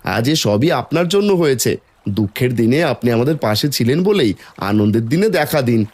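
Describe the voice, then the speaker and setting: A man playing a humble, earnest poor villager in an animated folk tale, speaking with dramatic feeling. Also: mid-range voice, moderate pace, slight background noise, lightly acted.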